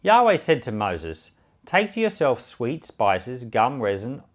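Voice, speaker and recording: A man reads scripture aloud in a medium-pitched voice. His intonation rises and falls widely as he stresses phrases. The delivery is measured and solemn. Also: sing-song. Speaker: Bible reader and podcast host.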